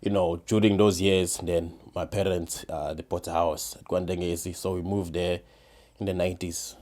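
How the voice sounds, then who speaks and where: Man, lowish voice. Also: little pitch movement, darkish tone. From South Africa, KwaZulu-Natal. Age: 30s. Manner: halting, restarts and repeats words often. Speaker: podcast guest, former defense attorney. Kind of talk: casual interview chat.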